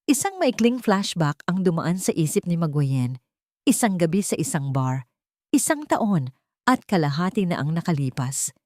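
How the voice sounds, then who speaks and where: Female narrator, lower register, dry close sound, scripted dramatic storytelling. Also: sing-song, normal speed, darkish tone, no echo.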